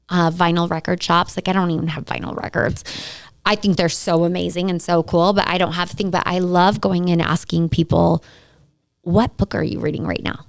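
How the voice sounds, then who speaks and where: A woman in her thirties, a guest on a podcast, chatting in a bubbly, talkative way with quick laughs. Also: lowish voice, vocal fry. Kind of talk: casual interview chat.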